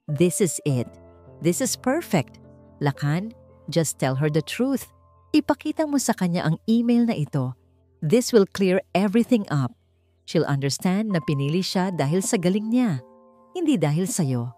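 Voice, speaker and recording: Earnest confidant, voiced by a female narrator, direct, urging, reassuring, romance audio story with soft music. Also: fairly low pitch, sing-song, measured pace. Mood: eager, reassuring. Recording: music under the voice.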